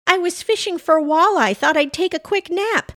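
A woman's voice: very high-pitched, normal speed, fairly bright tone, no room sound, clean studio sound, pitched above the performer's own voice. A woman voicing a wary, plain-spoken, folksy small-town woman in a comic storybook tale. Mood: sheepish. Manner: blunt, folksy, exasperated.

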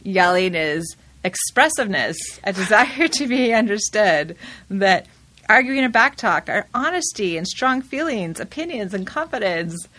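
A woman hosting a casual studio discussion, talking loudly and animatedly and laughing often. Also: medium pitch, no room sound. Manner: loud, animated, quick to laugh. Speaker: host. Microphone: studio microphone.

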